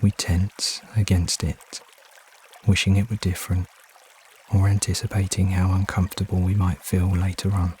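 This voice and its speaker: A man leading a guided meditation as narrator, giving soothing, unhurried instructions with frequent pauses. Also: deep voice, slight background noise. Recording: steady water ambience behind voice. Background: trickling stream water sounds.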